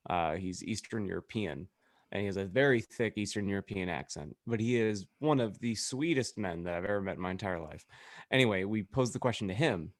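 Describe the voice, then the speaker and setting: A man with a fairly deep voice, recorded dry and close on the mic. He talks in a casual, conversational way as a podcast co-host. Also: a little fast, no room sound. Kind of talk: casual group chat.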